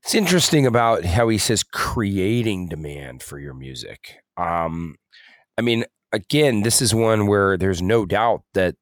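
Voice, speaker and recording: A man's voice: on the low side, animated, measured pace, no room sound, studio quality. A man co-hosting a podcast in casual discussion, explaining things in a rambling, hedging way. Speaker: podcast co-host.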